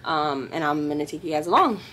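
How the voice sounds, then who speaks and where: A woman's voice: low-pitched, expressive, a little fast, fairly bright tone, a little hiss or hum, poor audio. Young adult woman, chatty vlogger, talking straight to camera at arm's length. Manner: chatty, upbeat, talks straight to viewers. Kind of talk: casual vlog chat to camera. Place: car, bedroom and patio in a hot place. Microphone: camera held at arm's length.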